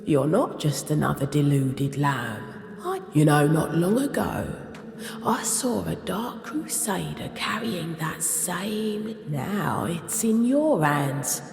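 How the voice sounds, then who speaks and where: Echoing in a long reverb over noisy ambience, a woman speaks as a hardened game zealot. Her voice is low and gravelly. She is scornful and menacing, with fervent devotion to a dark god. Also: animated, takes it slowly, full character voice. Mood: wry, menacing. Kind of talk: dramatic video game dialogue.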